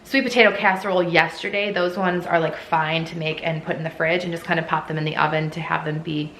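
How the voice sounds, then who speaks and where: A woman's voice: deep voice, flat delivery, fast, a bit bright. Chatty woman in an echoey kitchen. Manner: chatty, many "like" fillers. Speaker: homemaking vlogger. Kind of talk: casual cooking vlog chat. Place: home kitchen. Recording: echoey kitchen, faint room noise.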